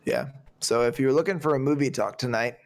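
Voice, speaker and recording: A man's voice: medium pitch, fairly quick. A young man co-hosting a casual movie podcast, talking in a loose, rambling way with many restarts. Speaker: podcast co-host.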